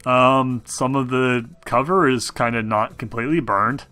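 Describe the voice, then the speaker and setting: A man's voice: mid-range voice, measured pace. A man casually narrating a tabletop role-playing game as game master, swearing now and then.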